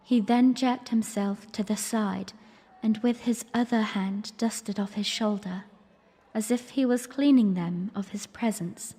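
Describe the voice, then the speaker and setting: A woman's voice: medium pitch, measured pace, mellow, slightly rough, big-room sound. A woman playing a young princess, narrating her memory in a dramatic first-person audio drama style. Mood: puzzled, storytelling.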